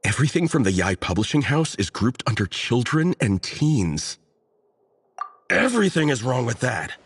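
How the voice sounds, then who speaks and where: A man's voice: mid-range voice, very animated, moderate pace, husky. A man voicing an earnest, opinionated young book nerd in a video game, fussy and enthusiastic as he explains. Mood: indignant. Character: earnest, opinionated, nerdy young man, devoted light-novel fan.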